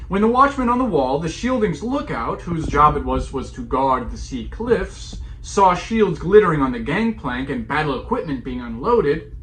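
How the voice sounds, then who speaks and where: A man narrating epic verse as a dramatic storyteller, solemn and theatrical, with deliberate pauses and swells. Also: fairly high pitch, very animated, fairly bright tone. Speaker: storyteller performing an epic poem. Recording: clearly noisy recording, background ambience.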